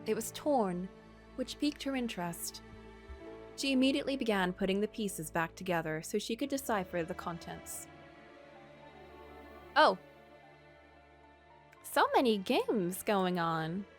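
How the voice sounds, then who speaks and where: Expressive woman reading a story over music. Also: mid-range voice, a little slow, pauses often. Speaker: streamer reading a visual novel aloud. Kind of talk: expressive story narration with casual asides.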